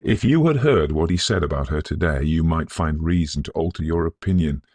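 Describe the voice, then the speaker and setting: A man voicing a proud, emotional young Victorian gentleman in an audiobook, genteel and impulsive. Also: extremely low pitch, animated, brisk, no room sound, heavily acted. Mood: bitter, wounded.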